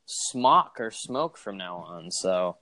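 Relaxed young male co-host, casual and filler-heavy, dry close sound. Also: sits low, slow, dry recording. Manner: laid-back, filler-heavy, laughs easily. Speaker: podcast co-host. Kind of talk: casual chat.

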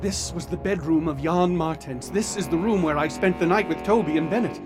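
An actor playing Callum, an excitable occultist who insists on calling out to a murdered man's spirit in a radio drama. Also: a little high, fairly quick, close to an everyday voice. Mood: tense. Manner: urgent, theatrical, calls out to a spirit. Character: excitable occultist man named Callum, intense. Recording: music bed under dialogue.

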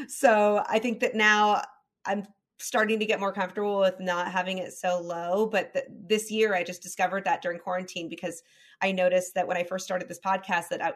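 A young woman with a light Southern Californian accent hosting a podcast, chatting candidly and laughing often. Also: lowish voice. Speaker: podcast host. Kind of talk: casual chat.

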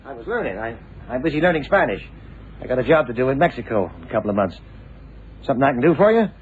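A man acting as an ambitious young lawyer in a vintage radio drama. His pitch moves a lot as he talks, hesitant and slangy, always on the defensive. It sounds narrow and tinny, with a light layer of noise. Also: sing-song, quick pace, natural voice. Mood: flustered. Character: evasive, ambitious young American male lawyer. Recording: thin, noisy 1960s radio drama recording.

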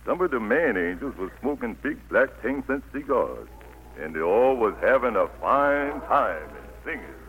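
An actor playing a kindly elderly preacher with a Southern US accent, telling stories in a folksy, patient way in 1940s radio style. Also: male voice, mid-range voice, sing-song, moderate pace, narrow, tinny audio, slight background noise, close to an everyday voice. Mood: musing, storytelling. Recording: old 1940s radio broadcast, music behind.

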